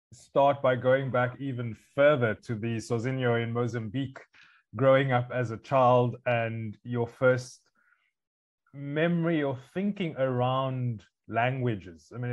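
A man's voice: mid-range voice, steady tone, measured pace, lo-fi sound. Male podcast host. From South Africa. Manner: long winding questions. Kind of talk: podcast interview.

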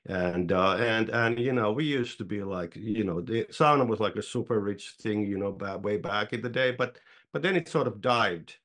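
A man from Finland with a Finnish accent, a podcast host talking on a video call, halting with many restarts. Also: fairly low pitch, a bit bright. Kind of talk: casual interview talk.

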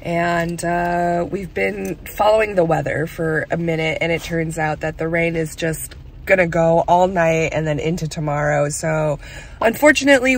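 A woman's voice: lowish voice, vocal fry. A woman in her thirties chatting casually to a handheld camera in her car, laughing often. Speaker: vlogger. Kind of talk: casual vlog chat. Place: inside a car in heavy rain. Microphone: camera at arm's length. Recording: rain noise on car. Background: rain drumming on the car.

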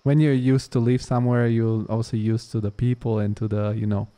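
Mellow-voiced man hosting a podcast. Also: medium pitch, level tone, brisk. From Italy. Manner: rambling questions, many fillers like "like" and "um". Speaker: podcast host. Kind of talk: casual interview.

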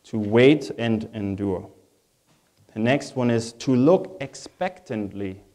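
A man's voice: fairly low pitch, warm tone. German-accented male pastor preaching. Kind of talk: sermon.

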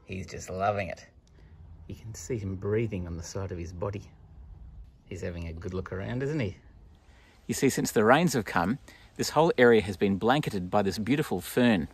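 A man in his forties with an Australian accent and a husky voice, giving a simple explanatory nature talk for children outdoors. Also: fairly low pitch, normal speed. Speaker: pastor presenting a children's story. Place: outdoors in bushland.

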